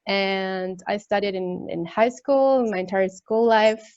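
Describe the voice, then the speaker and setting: A woman from Chile introduces herself, friendly and a bit halting, with lots of fillers. Her voice is dry and close, with no room sound, over a dull, lo-fi video call line. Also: medium pitch, a little mellow, dry recording. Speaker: German tutor. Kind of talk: casual self-introduction.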